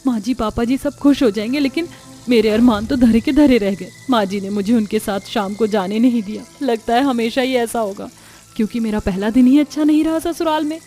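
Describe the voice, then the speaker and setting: A woman's voice: on the high side, a little fast, warm tone, faint music behind, natural voice. A woman voicing a meek, tearful young daughter-in-law in a story, earnest, deferential and plaintive. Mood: tearful lament.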